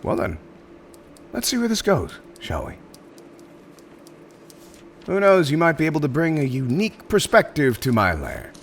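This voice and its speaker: A man's voice: a little high, wildly swooping pitch, normal speed, long pauses, slight background noise. A man playing a theatrical, polite but exasperated supervillain interviewer in a roleplay audio drama, hesitant and mock-professional, prone to chuckling. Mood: amused, businesslike.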